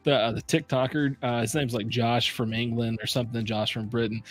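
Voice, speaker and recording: American man, mid-pitched voice, casual rambling chat, laughs easily. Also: little pitch movement, brisk, faint music behind. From United States. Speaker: whiskey reviewer host.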